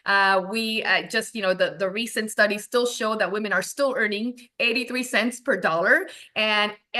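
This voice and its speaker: A woman from Venezuela in her forties, a tech founder who gives lively interview answers and acts out her own past thoughts. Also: medium pitch.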